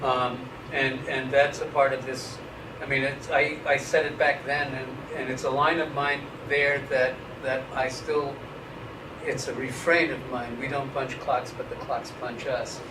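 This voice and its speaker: A man's voice: medium pitch, level tone, measured pace. A man in his fifties being interviewed about writing, talking frankly and wryly with loose, casual language and frequent restarts. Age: 50s. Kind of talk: relaxed academic interview. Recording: echoey room, mic at a distance, noisy.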